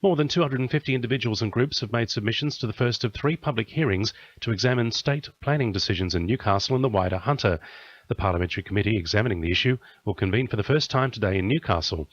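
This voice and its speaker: Fast-talking Australian male news reader. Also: on the low side. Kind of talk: scripted news bulletin. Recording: dull lo-fi radio audio.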